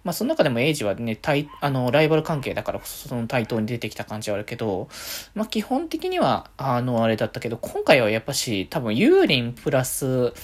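Man podcasting with very lively intonation. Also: medium pitch, fast. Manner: conversational, thinking aloud in loose, winding sentences. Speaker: solo podcast host. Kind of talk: casual fan commentary.

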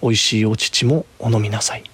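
Storybook small child, read by a man, fond and coaxing, husky tone. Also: fairly low pitch, steady tone, brisk, a bit bright. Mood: coaxing, affectionate. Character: small child fondly calling a pet snake.

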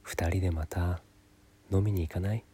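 A man's voice: low-pitched, fairly even intonation, normal speed, dark tone, breathy edge. A man voicing a friendly young female coworker who casually invites him for drinks, in a light, easygoing manner. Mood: inviting.

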